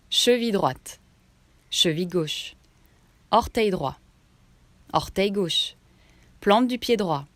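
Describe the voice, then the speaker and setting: A woman guiding a relaxation meditation, giving instructions in short phrases with pauses between them. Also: lowish voice, no echo. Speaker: yoga nidra meditation guide.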